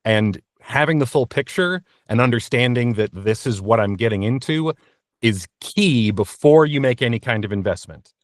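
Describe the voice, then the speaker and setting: A man's voice: mid-range voice, expressive, normal speed, no room sound, clean studio sound. A man answering as an interview guest in a conversational, practical, explanatory way. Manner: practical, explanatory advice-giving.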